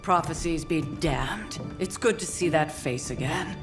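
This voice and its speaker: An actress playing a grave, composed older mentor with a solemn, measured manner in a video game. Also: female voice, deep voice, over music. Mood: tender.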